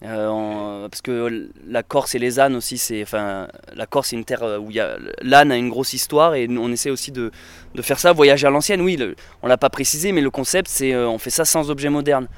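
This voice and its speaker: A man's voice: medium pitch, a little fast, clear, resonant tone, dry recording. A man chatting casually in an interview, rambling with many fillers and restarts. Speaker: interviewee, traveler and videographer.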